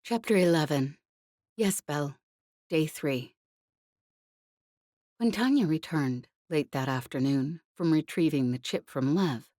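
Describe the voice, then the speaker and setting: Mellow woman narrating an audiobook, very dry sound. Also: low voice, dry recording. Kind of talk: scripted audiobook narration of a novel.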